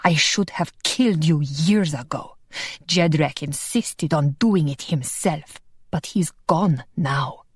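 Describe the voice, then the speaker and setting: Woman voicing a cruel male vampire villain, low, slow, gloating, storybook audiobook. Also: breathy edge, dry recording, exaggerated voice. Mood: menacing.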